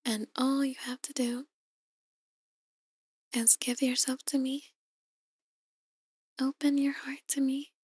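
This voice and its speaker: A woman performing an ASMR roleplay as a soft-spoken, possessive female demon, coaxing in a hushed, breathy voice that often slips into whispers. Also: high-pitched, level tone, slow, long pauses, no room sound, clean studio sound, exaggerated voice. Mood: seductive, possessive.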